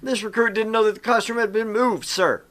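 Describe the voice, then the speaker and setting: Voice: mid-range voice, fairly even intonation, fairly quick, a bit bright, pitched above the performer's own voice, close to an everyday voice. A performer voicing an awkward, earnest young male recruit in a visual novel game, often hesitant and grumbling. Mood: anxious.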